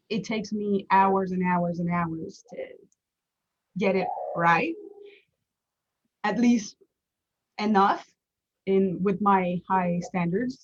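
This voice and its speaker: A woman in her thirties from Quebec with a Quebec French accent, whose first language is French, speaking as a guest on a live video call and laughing lightly as she talks. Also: mid-range voice. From Quebec, Canada. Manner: slow, measured, laughs lightly. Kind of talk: casual live interview. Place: home room. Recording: dull lo-fi video call audio.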